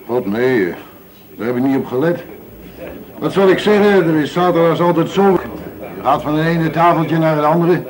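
A man's voice: on the high side, lively intonation, moderate pace, natural voice, poor audio. An actor playing an easygoing factory worker in a radio play, casual, hesitant and vague in his answers. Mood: evasive. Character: evasive, easygoing factory worker, café regular. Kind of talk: Dutch radio play acting. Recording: radio play with busy café chatter.

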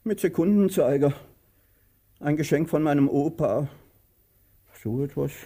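An actor in a German radio play, playing a pompous, domineering master with a rough, theatrical delivery. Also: male voice, on the high side, unhurried, dark tone. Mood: flustered, pompous. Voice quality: husky, rough. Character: pompous, bullying master, brutish then fussily polite.

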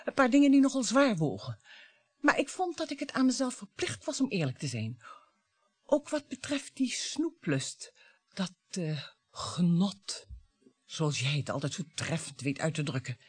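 A woman's voice: lowish voice, sing-song, measured pace, husky, no echo, full character voice. An actress playing a bitter, mocking wife in her fifties in a radio play, with a theatrical way of talking. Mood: wry, confiding. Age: 50s. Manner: theatrical, mocking, swinging between coaxing and scorn. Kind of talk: radio drama acting.

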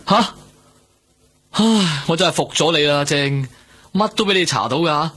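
A man's voice: on the high side, unhurried, sharp tone, slightly rough, heavily acted. A man acting a cautious, exasperated police superior in a radio drama, grumbling in a Hong Kong Cantonese accent. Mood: wary, exasperated. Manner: grumbling, admonishing. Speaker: actor.

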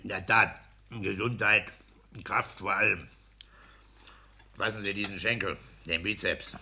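An actor playing a pompous, self-satisfied petty-bourgeois husband and landlord in a declamatory 1960s German radio play style. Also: male voice, on the low side, steady tone, a little slow, gravelly, pushed and bright, a little hiss or hum, heavily acted. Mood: boastful. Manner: pompous, declamatory, bullying joviality.

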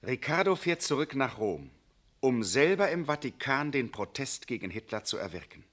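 A man's voice: mid-range voice, big pitch swings, normal speed, a bit bright. A man giving scripted narration in a 1960s radio drama, in a dry, ironic tone.

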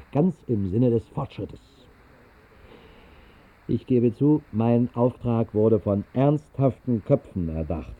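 Husky, tipsy night-wandering oddball. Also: male voice, medium pitch. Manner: theatrical, halting, mock-pompous delivery. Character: tipsy, whimsical night-wandering drinker, a mock-official oddball. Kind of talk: 1960s radio drama monologue. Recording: muffled narrow-band 1960s radio recording.

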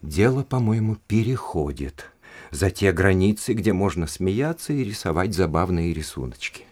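An actor in an audio drama playing a haughty, indignant aristocratic official who speaks in an emphatic, scornful and domineering way. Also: male voice, low voice, lively intonation, moderate pace, darkish tone. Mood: stern, insistent. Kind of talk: Russian audio drama acting.

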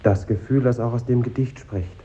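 An actor playing a dreamy, unsure schoolboy poet in a 1950s radio drama, speaking haltingly. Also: male voice, mid-range voice, steady tone, warm tone, a little hiss or hum, natural voice. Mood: subdued, uncertain. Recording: muffled old radio recording.

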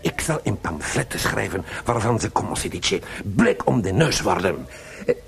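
A man playing an excitable, scheming Italian opera librettist in a radio drama, with an Italian accent and theatrical Italian exclamations. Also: lowish voice, normal speed, creaky, slight background noise. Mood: indignant, scheming.

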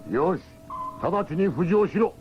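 An actor playing a smug, bossy enemy submarine captain in a radio drama. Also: male voice, high-pitched, lively intonation, unhurried, over music. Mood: commanding. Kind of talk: 1970s radio drama villain acting. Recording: noisy, dull 1970s radio recording.

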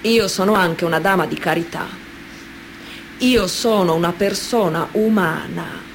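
A woman's voice: fairly low pitch, unhurried, a little hiss or hum. A woman playing a proud, pious mafia wife and mother in a righteous, defiant radio monologue. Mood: defiant pride.

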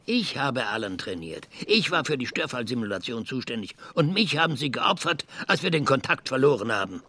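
An actor playing an older, hot-tempered retired space scientist in a radio drama, with a halting, blustering manner. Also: male voice, medium pitch, very animated, pushed and bright, dry recording. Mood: angry, indignant. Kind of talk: German radio drama acting.

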